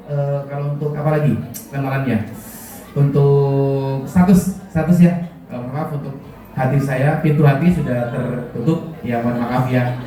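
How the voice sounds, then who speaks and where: Javanese man, parenting speaker; mellow tone, recorded from far off in a very echoey school hall. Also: medium pitch. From Kulon Progo, Yogyakarta, Indonesia. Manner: joking, quizzes the audience with questions. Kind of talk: religious parenting talk to parents.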